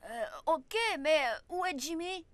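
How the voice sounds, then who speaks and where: A woman's voice: high voice, animated, measured pace, dry recording. A woman voicing an eager, cheeky ten-year-old boy in a French cartoon. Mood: hesitant. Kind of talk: French cartoon dub acting. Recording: loud music underneath.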